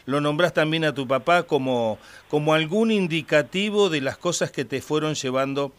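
Smooth-voiced Argentine radio host. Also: male voice, fairly high pitch. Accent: Argentine Spanish. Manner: friendly, halting with fillers. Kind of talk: casual radio interview.

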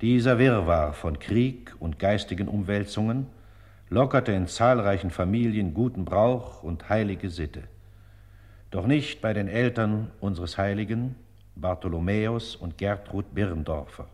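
A man's voice: on the low side, unhurried, darkish tone. An actor playing a solemn male preacher delivering a lofty, oratorical sermon in a radio play. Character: solemn male preacher praising a saint from the pulpit. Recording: long church-like reverb.

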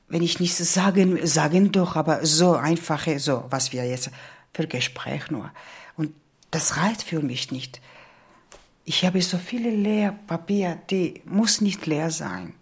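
A woman's voice: sits low, takes it slowly, slightly rough. A middle-aged woman from Georgia, a native Georgian speaker with a Georgian accent, telling her story for a radio feature. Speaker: interviewee. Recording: music playing behind the voice. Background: music.

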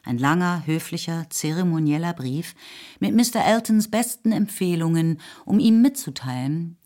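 Low-voiced woman narrating a literary radio drama. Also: lively intonation, moderate pace, mellow. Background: music.

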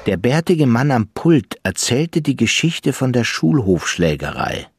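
A man narrating a crime radio play in a measured storytelling tone. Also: medium pitch, big pitch swings, creaky, slightly rough, no echo, studio quality. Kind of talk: scripted narration.

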